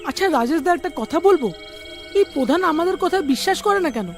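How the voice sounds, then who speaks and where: A man voicing an anxious, excitable young village man in a cartoon dub. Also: extremely high pitch, fairly quick, soft background music. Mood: worried. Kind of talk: cartoon dubbing.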